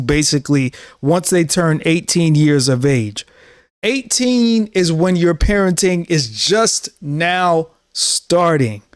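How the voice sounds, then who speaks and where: A man's voice: fairly high pitch, clean tone, no echo, studio quality. A man in his thirties giving an earnest, preachy talk to camera as a show host, often repeating himself. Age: 30s. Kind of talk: casual monologue to camera.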